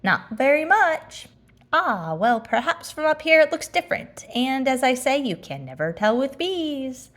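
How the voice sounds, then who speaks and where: A woman voicing an earnest, anxious little male toy bear in a children's storybook, speaking in a deliberate, declamatory way. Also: high voice, sing-song, a bit bright, pitched above the performer's own voice, exaggerated voice. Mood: anxious, pondering.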